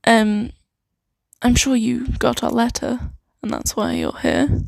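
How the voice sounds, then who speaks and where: A woman playing a friendly, flustered sanctuary worker in an improvised fantasy ASMR roleplay, talking in a hesitant, halting, awkward way. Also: on the high side, huge pitch swings, creaky, darkish tone, dry recording, exaggerated voice. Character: friendly, flustered young female sanctuary worker named Claire.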